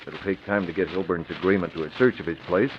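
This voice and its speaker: An American actor playing a cool, methodical, commanding masked vigilante in 1940s radio style, deep and husky. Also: male voice, steady tone, fast talker, a little hiss or hum, lightly acted. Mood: decisive, calm. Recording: dull vintage radio recording.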